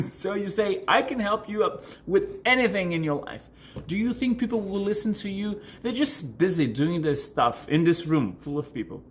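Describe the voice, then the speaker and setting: A man's voice: high voice, expressive, moderate pace. Male coach, native Portuguese speaker, noisy recording. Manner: repeats and rephrases words for emphasis. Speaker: online business coach, video host. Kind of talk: informal explanatory talk to camera answering questions.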